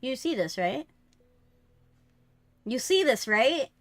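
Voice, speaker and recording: A woman's voice: high voice, very animated, slow, no room sound. A young woman streamer reacting casually to a game with blunt, playful interjections. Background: soft background game music.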